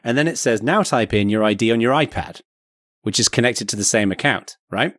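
A man's voice: medium pitch, fairly quick, fairly bright tone, dry recording, clean studio sound. A man co-hosting a casual tech podcast, ranting about small annoyances with frequent stumbles and restarts. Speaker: podcast co-host.

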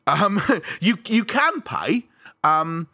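Podcast co-host, male, bright and animated, chatty with frequent laughs, dry close mic. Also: high-pitched, vocal fry, no room sound. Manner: chatty, self-deprecating, laughs often, halting restarts. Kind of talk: casual chat.

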